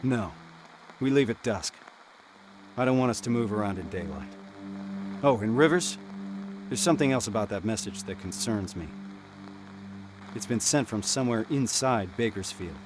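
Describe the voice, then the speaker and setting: A man's voice: lowish voice, animated, moderate pace, long pauses, over music, lightly acted. A man voicing a terse, authoritative resistance sergeant in a video game. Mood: grave, controlled. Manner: authoritative, clipped. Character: commanding male resistance sergeant, steady and terse.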